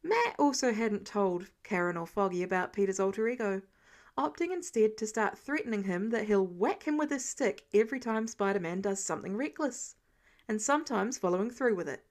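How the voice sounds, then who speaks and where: A woman reading fiction aloud in an expressive audiobook narration style. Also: mid-range voice, a little fast. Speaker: fan fiction reader.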